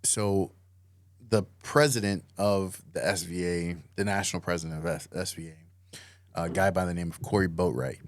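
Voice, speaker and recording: A man's voice: low-pitched, moderate pace, no echo, studio quality. A man talking casually as a podcast guest, easygoing, with lots of "you know" and fillers. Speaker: podcast guest, Marine veteran. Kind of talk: casual interview conversation.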